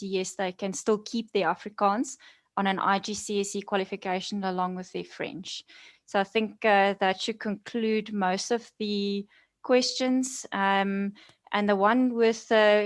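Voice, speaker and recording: Young woman hosting, brisk and organized, headset mic, normal mid voice. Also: medium pitch, dry recording. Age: young adult. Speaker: event host.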